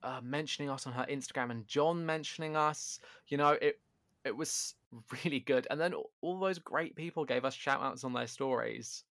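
A man co-hosting a podcast in casual chat, loud and animated, often poking fun at himself. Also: medium pitch, normal speed, no room sound, studio quality. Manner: animated, self-deprecating, loud. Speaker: podcast co-host. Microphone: home podcast microphone.

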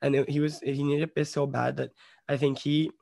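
A young man from Bombay tells a story casually, full of fillers and swearing. His voice has a gravelly fry and stays fairly level in pitch, and the close, dry sound has no room echo. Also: level tone, brisk, a little mellow. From Bombay, India. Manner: rambling, swears a lot, many "like" fillers. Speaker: podcast guest.

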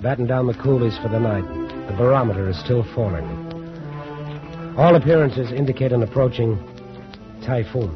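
A man's voice: medium pitch, normal speed, pauses often, lightly acted. Man playing an earnest ship's mate, old-time radio. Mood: grave, reporting. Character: earnest, dutiful ship's first mate, outspoken but deferential. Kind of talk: 1940s radio drama acting. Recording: dull old radio recording with music.

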